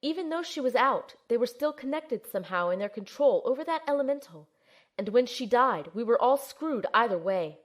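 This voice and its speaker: Woman as an earnest, high-voiced young operative. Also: lively intonation, moderate pace. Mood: tense narrating. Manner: earnest, urgent. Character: earnest, anxious young woman field operative narrating her mission. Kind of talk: first-person audio drama narration and acting.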